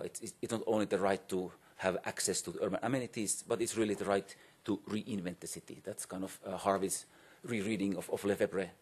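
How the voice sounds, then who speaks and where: Male academic keynote speaker, middle-aged. Lowish, rough-edged voice, hesitant delivery with repeated words. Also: darkish tone. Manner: halting and hesitant, frequent repetitions and pauses. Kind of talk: academic conference lecture. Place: indoor conference auditorium, on stage.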